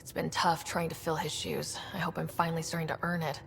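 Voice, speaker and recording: A woman's voice: deep voice, little pitch movement, brisk, vocal fry, a bit bright, faint music behind. A woman playing an earnest, resolute young space explorer in a video game. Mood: reflective.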